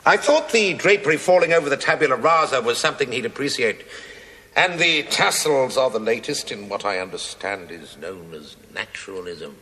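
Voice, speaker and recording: Man acting grumbly sly stonemason in a tv play, bright forceful voice, big echoey hall. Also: medium pitch, normal speed, lightly acted. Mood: wry deference. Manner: sardonic, mock-deferential, theatrical phrasing. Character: wry, grumbling working stonemason, sly and put-upon. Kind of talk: theatrical television play dialogue. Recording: echoey hall, faint noise, 1980s TV recording.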